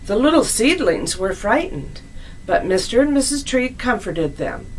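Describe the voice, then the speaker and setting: A woman narrating a scripted children's story as a storyteller, in a deliberate, expressive read-aloud manner. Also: a little high, a little hiss or hum, rough recording.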